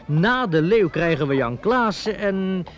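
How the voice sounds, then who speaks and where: A man's voice: high-pitched, lively intonation, measured pace. A man playing an insolent, mock-polite village rogue in a radio play, on a dull, noisy old recording. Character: cheeky village rogue, an ex-convict.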